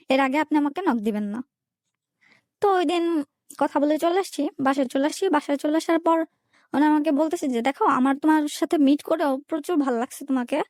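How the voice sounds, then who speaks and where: A woman's voice: high voice, darkish tone, no echo, studio quality. A young woman with a Bangladeshi accent tells a personal story in an interview, colloquially, recounting conversations turn by turn. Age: young adult. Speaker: guest telling her life story.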